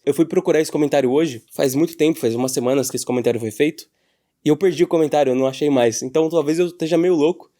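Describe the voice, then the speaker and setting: A man's voice: medium pitch, mellow. A Brazilian man giving casual opinion commentary as a YouTuber, in an informal, slangy way.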